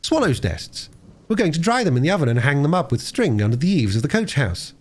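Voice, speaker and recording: A man's voice: fairly high pitch, big pitch swings, fairly quick, breathy edge, pitched above the performer's own voice. A man voicing a bossy, self-righteous young boy in a storybook reading.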